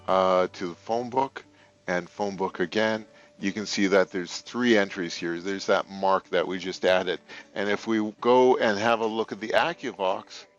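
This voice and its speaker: A man narrating a step-by-step software tutorial in a plain, informal, unscripted way, thinking aloud as he instructs. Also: lowish voice, highs cut off. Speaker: software tutorial presenter for a telecom retailer.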